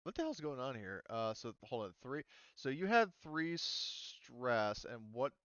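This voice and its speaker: A man acting as game master in a casual online roleplaying session, thinking aloud and halting as he checks rules. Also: mid-range voice, big pitch swings, fairly bright tone, no echo, highs cut off.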